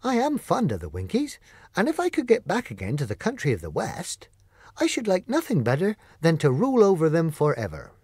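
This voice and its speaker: A man's voice: huge pitch swings, a little fast, darkish tone, slightly rough, no echo, heavily acted. A man voicing a kindly, sentimental tin woodman in a storybook reading, with an earnest delivery. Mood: fond, hopeful. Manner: earnest, high-pitched delivery.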